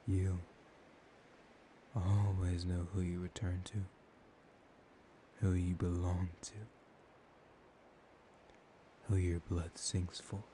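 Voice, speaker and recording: Casting: male actor as a hypnotic, possessive vampire lover for ASMR audio drama; very low, mellow, slow and hushed, teasing, with whispers. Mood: possessive, coaxing. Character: dominant, seductive male vampire hypnotizing his captive lover. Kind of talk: soft-spoken ASMR roleplay. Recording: steady background hiss.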